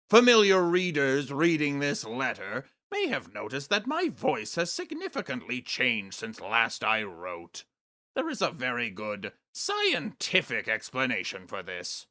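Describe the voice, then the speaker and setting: A man's voice: medium pitch, sharp tone, heavily acted. A man voicing a pompous, sinister aristocratic snake-man, grandiose and melodramatic, in a theatrical comedic monologue.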